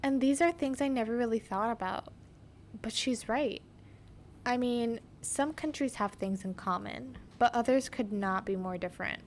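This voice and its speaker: A woman's voice: on the high side, moderate pace, a little hiss or hum. A young woman hosting a podcast, telling her story in a reflective, scripted narration. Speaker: podcast host.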